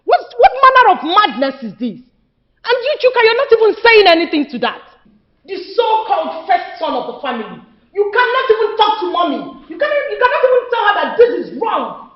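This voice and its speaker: Very high-pitched scolding Nigerian daughter. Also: female voice, sing-song, fairly quick, dull audio. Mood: indignant. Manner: scolding, repeats rhetorical questions. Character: outspoken, indignant grown daughter scolding her family. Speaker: actor in a family drama. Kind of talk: heated film drama acting.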